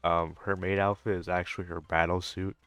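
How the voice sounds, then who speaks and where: A man's voice: sits low, little pitch movement, brisk, fairly bright tone, clear, resonant tone. A man giving casual, rambling, hesitant reaction commentary on a video game, swearing now and then. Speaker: gaming streamer reacting to a story quest.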